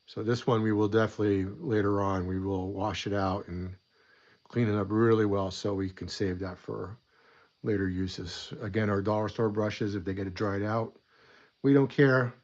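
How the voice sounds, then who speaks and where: A man's voice: lowish voice, fairly even intonation, normal speed, a little mellow, dull audio. A man hosting a casual hobby weathering tutorial at his desk, chatty and rambling, a bit stuffy from a coming cold. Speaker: model weathering host.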